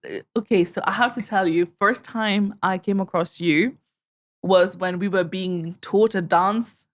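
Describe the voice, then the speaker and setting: A woman's voice: mid-range voice, unhurried. Woman hosting a podcast interview. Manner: warm, encouraging questioner with frequent affirmations. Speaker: podcast host. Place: studio in Mumbai.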